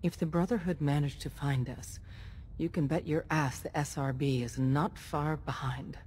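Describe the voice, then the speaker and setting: A woman's voice: low-pitched, steady tone, moderate pace, a little mellow, a little hiss or hum, lightly acted. An actress playing an earnest, weary female resistance leader in a video game, speaking in a grave, resolute manner. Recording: music behind the voice.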